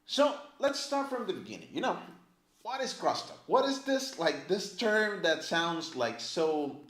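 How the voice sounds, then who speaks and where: A chatty male teacher explains things in a lively, bouncing voice that sits a little high. He keeps checking in with "right?" and leans on "like". The room around him is echoey. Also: measured pace, soft background music. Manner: animated, chatty, many fillers like "right?" and "like". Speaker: language teacher. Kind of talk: casual explanatory lesson to camera. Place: indoor room, filmed by a camera operator.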